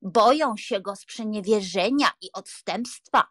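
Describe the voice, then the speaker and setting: A woman's voice: medium pitch, measured pace, fairly bright tone, no room sound, studio quality. A woman playing an anxious, pious old aunt in a dramatised audiobook, fretful and pleading. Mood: worried.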